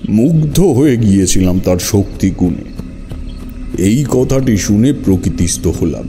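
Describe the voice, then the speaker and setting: A man's voice: lowish voice, animated, unhurried, dark tone, soft background music. A man narrating a horror story dramatically in the first person.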